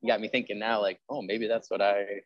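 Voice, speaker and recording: Low-voiced man in rambling, casual chat. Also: flat delivery, brisk, no room sound, highs cut off. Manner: rambling, peppered with "like" and "you know". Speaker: interviewer and host; history teacher. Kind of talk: casual chat about genre fiction writing.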